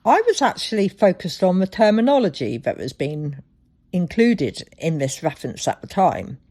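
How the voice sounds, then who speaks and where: A woman hosting a solo podcast, telling things reflectively with deliberate, dramatic phrasing. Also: lowish voice, big pitch swings, mellow. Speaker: podcast host.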